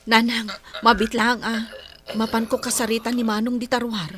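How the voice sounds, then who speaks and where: A woman's voice: fairly high pitch, flat delivery, moderate pace, crowd noise, close to an everyday voice. A woman playing a concerned, exasperated grown daughter in a radio drama, complaining earnestly with a Filipino accent. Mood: concerned, pleading. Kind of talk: Ilocano radio drama acting.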